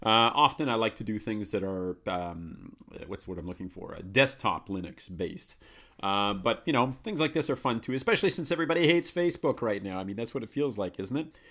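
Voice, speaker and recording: A man's voice: lowish voice, expressive, brisk, fairly bright tone. A man hosting a live computer tutorial, chatty and self-correcting, muttering commands as he types. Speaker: host of a live Linux tutorial show. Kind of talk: live screencast tutorial, thinking aloud.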